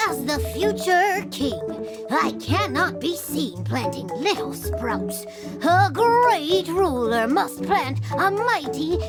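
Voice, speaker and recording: A woman's voice: very high-pitched, exaggerated voice. A woman voicing a self-important, earnest little boy prince who makes grand theatrical proclamations in a video game.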